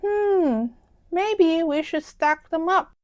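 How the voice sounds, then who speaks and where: A woman voicing an excited, sweet young girl pig in a children's storybook, eager and childlike. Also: very high-pitched, takes it slowly, pitched above the performer's own voice. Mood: proud.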